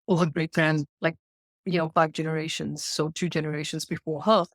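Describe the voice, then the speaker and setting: A woman in her thirties with a South African accent talks as a podcast guest. Her voice sits a bit low, and she works through ideas slowly and earnestly, asking herself questions as she goes. The sound is dry and close, with no room echo. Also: little pitch movement. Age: 30s. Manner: earnest, searching, with repeated questions. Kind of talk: reflective interview talk.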